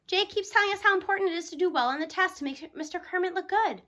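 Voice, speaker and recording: A woman with a very high, bright voice reads a children's story aloud. She narrates in the first person with animated expression, acting the tale out as she goes. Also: fast talker. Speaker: audiobook reader.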